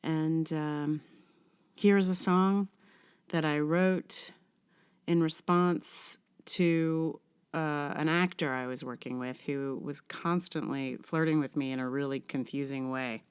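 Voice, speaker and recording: A middle-aged woman talks casually as a podcast host. Her delivery is slow and halting, with an informal, self-deprecating manner that sounds unscripted. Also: sits low, fairly even intonation, smooth. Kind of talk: casual podcast outro chat.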